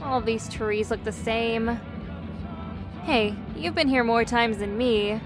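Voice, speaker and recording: A woman's voice: fairly high pitch, slow, music in the background. A woman playing a caring, teasing young best friend in an audio roleplay, chatty and a little hesitant as she talks. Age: young adult. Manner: chatty, hesitant, self-correcting, playfully teasing. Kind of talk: interactive audio roleplay acting.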